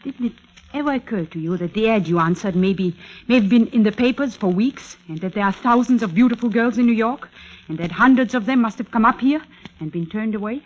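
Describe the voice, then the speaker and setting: A woman's voice: fairly high pitch, a little fast, a little mellow, close to an everyday voice. An actress playing a bitter, weary woman giving blunt warnings in a 1940s radio suspense drama. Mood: urgent warning. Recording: dull, muffled old radio recording.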